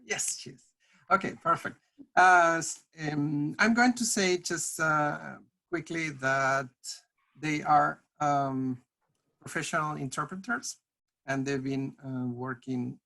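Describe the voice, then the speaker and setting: A program organizer opening a panel on a video call, speaking haltingly with many ums and restarts. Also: medium pitch, creaky, bright, forceful tone.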